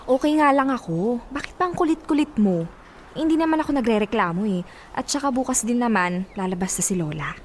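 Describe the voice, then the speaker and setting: A woman's voice: on the high side, expressive, brisk, a little hiss or hum, natural voice. An actress playing a friendly, insistent, playful young woman in a radio drama, breezy and casual, with a Filipino accent.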